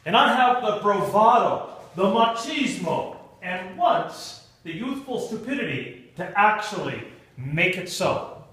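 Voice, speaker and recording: A man giving a humorous storytelling speech to an audience in a theatrical manner, with dramatic pauses and stretched emphasis. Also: high voice, sing-song, a bit bright. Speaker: Toastmasters club speaker telling a humorous tale. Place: indoor meeting room with an audience. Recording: echoey room, recorded from far off.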